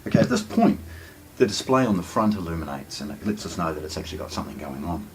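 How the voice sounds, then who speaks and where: A man giving a casual hands-on product unboxing commentary, explaining things with hesitant pauses between phrases. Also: low-pitched, very animated, slight background noise. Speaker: product presenter.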